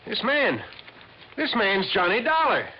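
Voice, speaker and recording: High-voiced patrolman, noisy old radio. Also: huge pitch swings, unhurried, muffled recording, heavily acted. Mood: excited. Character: eager, excitable male police patrolman. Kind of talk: old-time radio drama acting.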